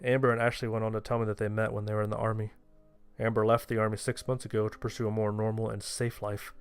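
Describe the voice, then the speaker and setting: A man's voice: on the low side, little pitch movement, quick pace, clear, resonant tone. A man narrating a first-person horror story, playing the main character himself. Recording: music under the voice. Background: music.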